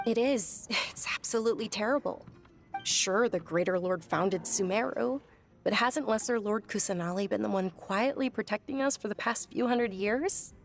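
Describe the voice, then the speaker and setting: A woman playing a sincere, devout young noblewoman in a video game, speaking articulately and softly. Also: mid-range voice, normal speed, vocal fry, soft background music, natural voice. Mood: indignant. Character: earnest, devout young noblewoman recounting her childhood. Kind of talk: game dialogue and reflective narration.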